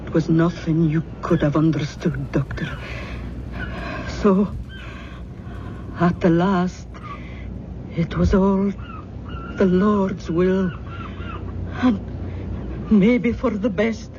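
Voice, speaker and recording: A woman's voice: fairly low pitch, fairly even intonation, slow pace, long pauses. An actress playing a tender, pious, motherly Scottish woman with a sorrowful manner in an old-time radio drama. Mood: grieving, pious. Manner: gentle, sorrowful. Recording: muffled, noisy vintage radio recording.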